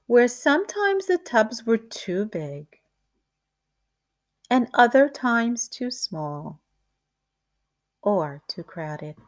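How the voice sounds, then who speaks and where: A woman reading a children's picture book aloud like a teacher, in a deliberate, expressive storytelling way. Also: a little high.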